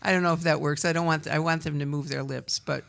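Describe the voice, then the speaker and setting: A woman's voice: low voice, fairly even intonation, quick pace, vocal fry. A woman from Wisconsin representing a community theatre in a casual radio interview, talkative and laughing often. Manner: talkative, laughs often, trails off mid-sentence.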